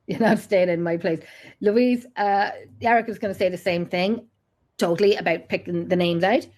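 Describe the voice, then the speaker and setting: An Irish woman hosting a podcast, chatting casually, laughing often and swearing lightly. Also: medium pitch. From Ireland. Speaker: podcast host. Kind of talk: casual chat.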